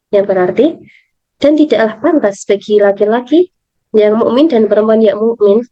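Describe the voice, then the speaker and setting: A young woman with an Indonesian accent and a hoarse voice, reading a study presentation aloud carefully, as a student. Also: a little high. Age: young adult. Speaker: university student. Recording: dull lo-fi, slightly echoing room.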